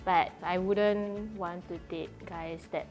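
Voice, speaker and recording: A woman's voice: fairly low pitch, steady tone, slow, faint music behind. A young woman in her twenties, a student, speaking casually and somewhat hesitantly as a guest on a discussion show. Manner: soft-spoken, hesitant, filler-heavy. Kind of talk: casual conversation.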